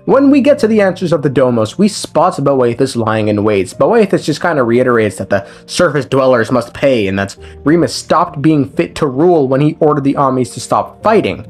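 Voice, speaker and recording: Animated, irreverent man recapping game lore. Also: mid-range voice, moderate pace, few pauses, slightly rough. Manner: irreverent, sweary, slangy. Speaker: video game lore commentator. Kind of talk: casual scripted story recap with joking asides. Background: background music.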